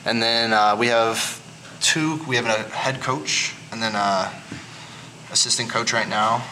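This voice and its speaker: A man's voice: mid-range voice, unhurried, pushed and bright. A young man chatting casually as a podcast guest in a bike shop, rambling with restarts. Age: young adult. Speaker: guest, collegiate cyclist. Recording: noisy shop background. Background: shop noise, people working on bikes.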